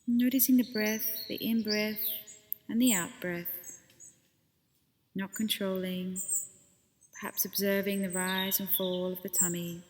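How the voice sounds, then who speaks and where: A woman leading a guided body scan meditation as a teacher, speaking gently and unhurriedly with pauses between phrases. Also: mid-range voice, fairly even intonation, long reverb tail.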